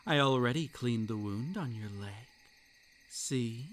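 A man's voice: medium pitch, a little slow, a little hiss or hum, close to an everyday voice. A man voicing an unhinged yandere kitsune. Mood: soft, possessive. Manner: gleeful, unhinged laughter between lines; drops to soft breathy whispers. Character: possessive yandere male kitsune monster, menacing. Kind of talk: roleplay ASMR.

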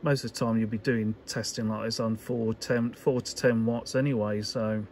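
A man giving a casual, unscripted workbench demonstration in halting, hesitant phrases, as an electronics hobbyist would. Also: on the low side, fairly even intonation, darkish tone. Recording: clear background noise.

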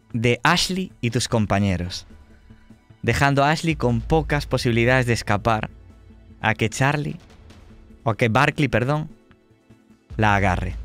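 A man's voice: medium pitch, a bit bright. A man running a tabletop horror roleplaying game, narrating in a dramatic, suspenseful way. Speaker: game master.

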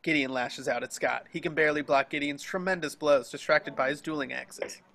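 A man's voice: a little high, steady tone, brisk, gravelly, a bit bright. A man reading scripted action descriptions as narrator of a table read over a video call.

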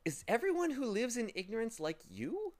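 A man's voice: very high voice, wildly swooping pitch, normal speed, a little mellow, pitched above the performer's own voice, heavily acted. A man voicing an earnest, curious little boy in a storybook, polite and hesitant. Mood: wary, curious.

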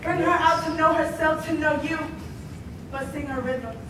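A woman plays an earnest woman reciting theatrical poetry. Her voice is high, coming from far off in a noisy, very echoey hall. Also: fairly even intonation, normal speed, lightly acted. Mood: urgent.

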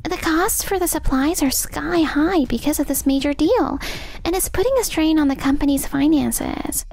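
A woman's voice: sits high, normal speed, darkish tone. A woman voicing a worried, practical young female office worker in a manga dub, speaking frankly. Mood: worried, apologetic. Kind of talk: manga dub character acting.

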